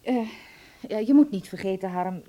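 A woman's voice: mid-range voice, normal speed, warm tone, close to an everyday voice. An actress playing a concerned, probing middle-aged mother in a radio drama, speaking with a hesitant, reproachful manner. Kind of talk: Dutch radio drama acting.

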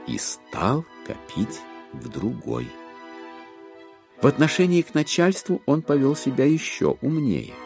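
A man narrating classic literature for an audio drama, expressively, with measured phrasing and dramatic pauses. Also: medium pitch, mellow, no room sound.

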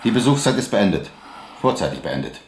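A cold, officious prison guard in a radio drama. His deep man's voice rings in a roomy, echoing space. He gives orders curtly and formally. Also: very animated, a little fast. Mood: cold, commanding.

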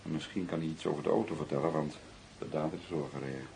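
An actor playing a courteous hospital staff man in a radio play, formal, measured and matter-of-fact. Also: rumbling low, fairly even intonation, darkish tone. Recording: echoey room, clear background noise.

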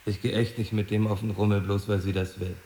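An actor playing a surly, crude teenage brother in a radio drama. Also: male voice, on the low side, little pitch movement, a little fast, mellow, natural voice. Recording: echoing room with faint hiss.

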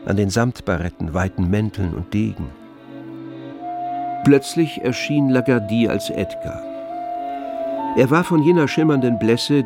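Low-voiced actor as male literary narrator, music underneath. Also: lightly acted. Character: literary narrator of a 19th-century French novel. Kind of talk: scripted radio play narration.

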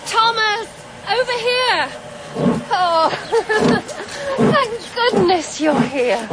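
A woman's voice: very high voice, very animated, drawn-out words, over a noisy crowd, very noisy, full character voice. A woman with a British accent playing an anxious, chattering woman in a radio drama. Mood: eager, anxious. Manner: fluttery, chattering. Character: anxious woman greeting a returning friend.

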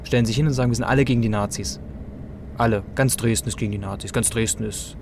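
East german guy arguing casually, pushy and slangy, middle pitch, traffic noise behind. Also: steady tone, fast. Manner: insistent, slangy. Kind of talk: casual argumentative chat. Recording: clear vehicle and street noise.

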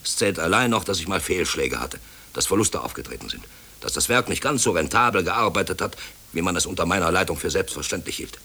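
An actor playing a brusque, overworked middle-aged company director in a German radio play, officious and self-pitying. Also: male voice, sits low, animated, fairly quick, bright, forceful tone, breathy edge, exaggerated voice. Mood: bitter, brooding. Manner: brusque, officious, brooding when alone. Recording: hissy old radio play recording.

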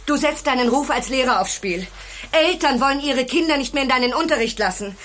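An actress playing a worried, reproachful wife who speaks urgently and earnestly in a German radio drama. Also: female voice, high-pitched, animated, fairly quick, fairly bright tone, slight background noise.

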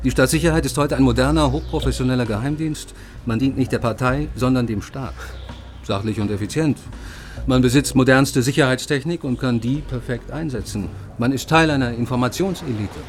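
An actor playing a composed, discreet intelligence informant in a radio drama, explaining in a matter-of-fact, measured way. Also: male voice, medium pitch, close to an everyday voice. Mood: dry, explanatory.

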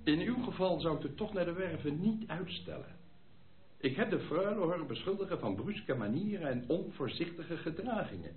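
Persuasive, well-mannered gentleman played by a man, expressive melodic delivery, radio play with narrow phone-like sound. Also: on the high side, normal speed, close to an everyday voice. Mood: advising. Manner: formal, persuasive. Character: earnest, advising gentleman host defending a young lady. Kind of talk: Dutch radio play acting. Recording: tinny band-limited old radio play recording.

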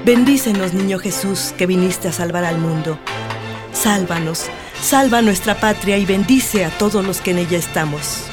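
A woman's voice: medium pitch, moderate pace, over music, lightly acted. A woman playing a devout, caring family mother in a radio soap, with a Mexican accent. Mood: prayerful.

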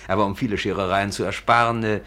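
An actor playing an urbane aristocratic villain, suave, polite and ironic, in a 1950s German radio play. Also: male voice, fairly low pitch, steady tone, fast, pushed and bright. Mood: coolly menacing. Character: urbane aristocratic villain, a coolly menacing gentleman thief.

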